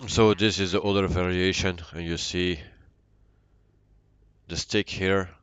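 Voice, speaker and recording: A man with a Belgian accent giving casual, halting, unscripted commentary as he live streams a flight simulator. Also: deep voice, level tone, fairly bright tone, clean tone. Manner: halting, unscripted, answering chat. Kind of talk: casual livestream commentary while playing.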